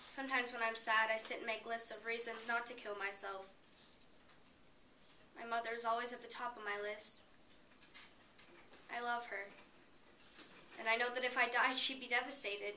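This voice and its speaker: A woman's voice: a little high, steady tone, moderate pace, long pauses, sharp tone. A woman performing a dramatic stage monologue as a despairing, bitter young college student, emotive and confessional. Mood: sad, reflective. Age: young adult. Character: despairing suicidal college girl, bitter and pleading. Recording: roomy, distant mic, faint noise.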